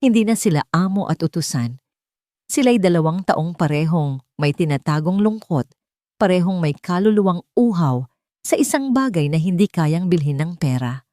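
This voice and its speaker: A woman's voice: fairly low pitch, lively intonation, normal speed, warm tone, no echo, clean studio sound. A woman storyteller reading scripted narration for a romantic drama audio story.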